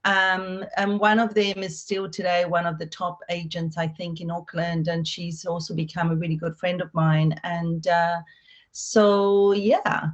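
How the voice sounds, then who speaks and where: A middle-aged woman with an Argentine Spanish accent talks in a chatty, upbeat way, full of fillers and quick restarts. Her voice sits on the low side for a woman. The audio is dull and lo-fi, like a remote call. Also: flat delivery. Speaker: real estate agent. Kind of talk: conversational interview.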